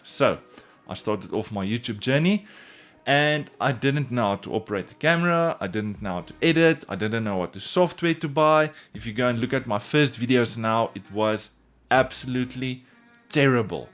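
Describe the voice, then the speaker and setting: A man's voice: medium pitch, expressive, normal speed, fairly bright tone. Afrikaans-accented man talking to camera. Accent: Afrikaans-accented English. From South Africa. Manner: earnest, repeats "in all honesty". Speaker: woodworking YouTuber. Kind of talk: casual talk to camera.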